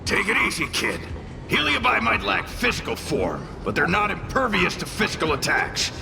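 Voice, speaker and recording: A man voicing a cranky, cocky spirit companion in a video game, brash and gravelly. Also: high voice, huge pitch swings, normal speed, noisy background, full character voice. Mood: cocky, instructive. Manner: brash, swooping, exclaiming. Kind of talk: scripted video game voice acting. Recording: voice under prominent music.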